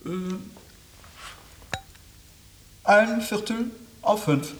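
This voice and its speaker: High-voiced, proper English gentleman. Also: echoey room, a little hiss or hum. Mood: hesitant. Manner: hesitant, polite, unhurried. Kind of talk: German radio drama acting.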